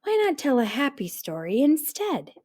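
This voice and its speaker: Lady doing a fond teasing ape mom from a kids book, sing-song and high, really lively. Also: normal speed. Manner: affectionate, sing-song exclaiming. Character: doting, teasing mother ape. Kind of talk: children's storybook read-aloud.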